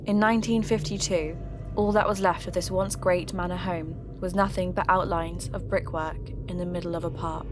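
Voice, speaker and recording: A woman playing a polite, curious radio host who investigates mysteries for a podcast, speaking in a measured, questioning way. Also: lowish voice, fairly even intonation, over music, natural voice. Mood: measured, intrigued. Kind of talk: scripted narration and phone interview.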